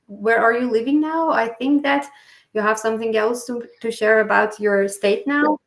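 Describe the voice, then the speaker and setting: A woman hosting a podcast interview, speaking in halting phrases full of fillers. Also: a little high. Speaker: podcast host. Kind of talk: interview conversation. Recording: echoey room.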